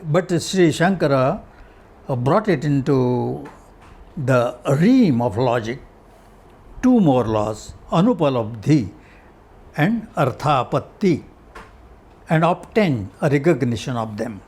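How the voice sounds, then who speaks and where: A man with an Indian accent reading a scholarly article aloud in deliberate, halting short phrases, his voice husky. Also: on the high side, a little mellow. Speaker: reader of a philosophy article. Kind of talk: read-aloud scholarly article with Sanskrit verse.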